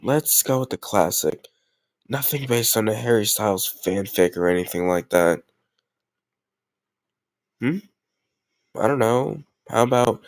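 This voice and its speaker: A man's voice: medium pitch, dry recording, natural voice. A man playing a tough gang leader who is a playful, doting boyfriend in an intimate roleplay audio, teasing and mock-grumbling affectionately.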